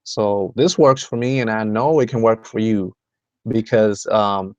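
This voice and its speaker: A man's voice: fairly low pitch, measured pace, darkish tone, no echo, muffled recording. A man from the Dominican Republic hosting a motivational video call, talking in a rambling way with many fillers and restarts. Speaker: host.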